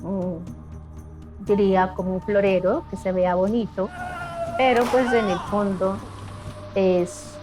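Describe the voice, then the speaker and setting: A woman's voice: mid-range voice, fairly even intonation, over music. Thoughtful woman speaking a second language. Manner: measured, thoughtful, pauses to find words. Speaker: interviewee. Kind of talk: reflective interview answers.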